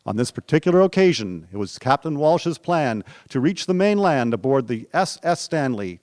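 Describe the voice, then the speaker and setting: A man's voice: medium pitch, darkish tone, no echo, studio quality. A man giving scripted historical narration for a radio drama. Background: faint music.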